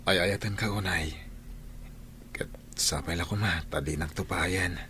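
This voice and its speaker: A lovestruck young villager in a radio drama. His fairly low voice is earnest and pleading, pausing as if unsure, over a faintly noisy background. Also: sharp tone. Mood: earnest devotion. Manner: heartfelt, halting, pleading.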